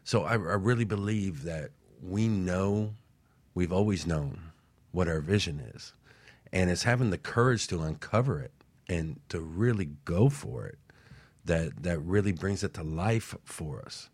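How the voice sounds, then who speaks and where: Deep-voiced earnest man, dry sound. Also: animated, unhurried, no echo. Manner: earnest, musing, many restarts. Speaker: co-host. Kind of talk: casual radio talk.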